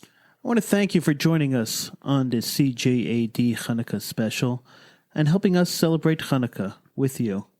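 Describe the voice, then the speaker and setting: A man's voice: mid-range voice, lively intonation, moderate pace, darkish tone, no echo, studio quality. A man hosting a radio program, addressing his guest and listeners. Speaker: radio host.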